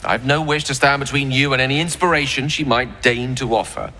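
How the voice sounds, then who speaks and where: Bright-voiced, echoing male wizard. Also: medium pitch, brisk, faint music behind. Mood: guarded, wry. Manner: articulate, formal, dry. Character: wry, wary, eloquent male wizard companion.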